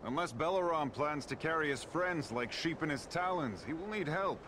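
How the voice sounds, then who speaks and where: Man voicing a higher-pitched, eager eagle. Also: animated, moderate pace, background noise, lightly acted. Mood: wry, deferential. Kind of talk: scripted fantasy game dialogue.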